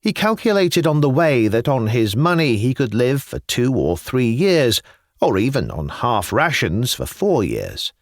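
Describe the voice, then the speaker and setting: A man narrating literary fiction as an audiobook, reading in a measured, expressive storytelling style with careful phrasing. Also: mid-range voice, no echo, studio quality.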